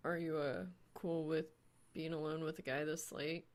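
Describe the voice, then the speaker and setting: A woman's voice: sits low, little pitch movement, moderate pace, close to an everyday voice. A woman voicing an awkward, sardonic young man gamer in an audiobook, dry and self-deprecating. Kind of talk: audiobook character dialogue.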